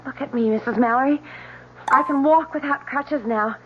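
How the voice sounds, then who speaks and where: A woman's voice: sits high, normal speed, close to an everyday voice. An actress in an old radio drama playing a sweet, earnest, joyful young woman. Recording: dull old radio recording with hiss.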